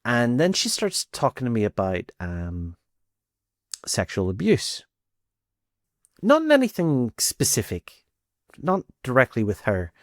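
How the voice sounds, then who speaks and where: A man's voice: mid-range voice, wildly swooping pitch, a little slow, pauses often, no echo, clean studio sound. A man with a Northern Irish accent telling a personal story casually, in a conversational way, acting out bits of dialogue. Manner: conversational, acts out quoted dialogue.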